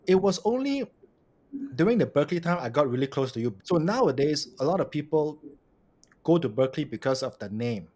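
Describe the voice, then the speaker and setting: A man's voice: a little high, very animated, a little fast, mellow. Inquisitive man hosting a chat. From Malaysia. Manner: conversational and inquisitive, follows up with questions. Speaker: podcast host. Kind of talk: casual interview conversation.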